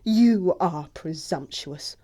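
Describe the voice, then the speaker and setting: A woman's voice: fairly low pitch, lively intonation, slow, mellow. A woman acting a snobbish young English society lady in an audio play comedy, with pompous, precise, disdainful delivery. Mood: haughty, cutting. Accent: English upper-class.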